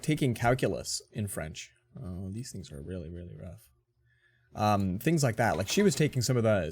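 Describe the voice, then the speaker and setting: A man's voice: fairly low pitch, a little mellow. Rambling man in his forties. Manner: rambling, chatty storytelling. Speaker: let's play host. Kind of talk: casual gameplay commentary.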